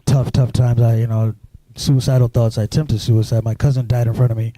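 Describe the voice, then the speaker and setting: Very dark-voiced, halting man from Sudan. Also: mid-range voice, level tone, no room sound. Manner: halting, full of restarts and "you know". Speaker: guest. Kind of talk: personal storytelling interview.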